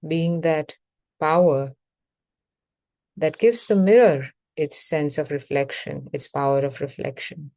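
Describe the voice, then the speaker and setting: Woman, meditation guide, deep-toned, unhurried delivery, measured short phrases. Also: mellow, dry recording.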